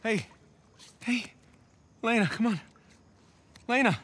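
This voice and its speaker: An actor playing a wry, weary, middle-aged American adventurer in a video game, talking casually and sometimes hesitantly. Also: male voice, very high-pitched, lively intonation, takes it slowly, background noise, heavily acted. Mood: alarmed. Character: wry, weary adventurer man, affectionate and easygoing. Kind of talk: cinematic video game acting, naturalistic.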